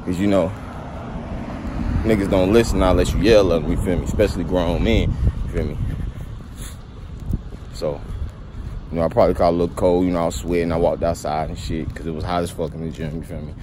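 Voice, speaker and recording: A man's voice: low-pitched, fairly even intonation, a little fast, pauses often. A young man vlogging into a phone held at arm's length as he walks down a street, rambling casually and asking "you feel me" over and over. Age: young adult. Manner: laid-back rambling, keeps saying "you feel me". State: slightly sick with a cold. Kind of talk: casual walking vlog monologue. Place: outdoors on a street on a sunny day. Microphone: phone held low at arm's length. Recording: outdoor street noise behind. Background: passing traffic.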